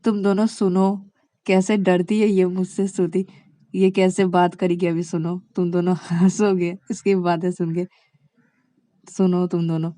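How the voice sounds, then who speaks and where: A woman's voice: fairly low pitch, flat delivery, unhurried, mellow, dry recording, lightly acted. A woman voicing an amused, confident young woman in an audio story, teasing and mock-indignant in her questions. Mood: coaxing.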